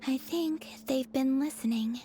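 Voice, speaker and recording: A supportive young woman in a fantasy game. Her voice sits a bit high and is soft, earnest and frank. Faint music plays underneath. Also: unhurried. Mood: tender, earnest. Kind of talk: video game drama acting.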